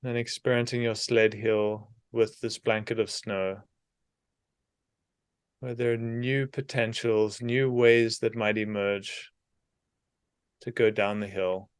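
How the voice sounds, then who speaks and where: A man guides a meditation in a fairly low, smooth voice. His delivery is soothing and very unhurried, placing short phrases between long pauses. The voice is clean and resonant throughout. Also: flat delivery, no echo.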